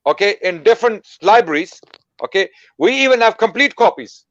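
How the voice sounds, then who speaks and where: A man's voice: high-pitched, animated, brisk, fairly bright tone, no room sound. A man giving an emphatic talk and repeating his key points. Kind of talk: recorded talk played back. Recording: dull lo-fi clip.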